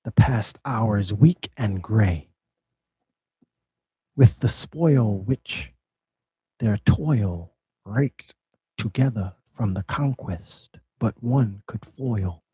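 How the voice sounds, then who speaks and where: Man reading a sea nymph girl, soft husky hush, slow. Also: lowish voice, lively intonation, dry recording, full character voice, low-quality recording. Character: Panthea, a gentle young female oceanid.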